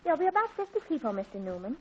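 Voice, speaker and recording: An actress playing an earnest, excitable teenage girl in a 1940s radio comedy, on a dull lo-fi old recording. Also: high-pitched, racing, loud music behind the voice.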